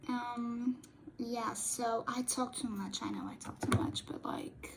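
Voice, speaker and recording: A young woman, a native Portuguese speaker, chats in a slightly high, unhurried voice. She rambles playfully and keeps correcting herself. It is a home recording from a desk camera, with a faint hiss underneath. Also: steady tone, gravelly. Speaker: cosplay makeup vlogger. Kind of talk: casual chatty makeup tutorial.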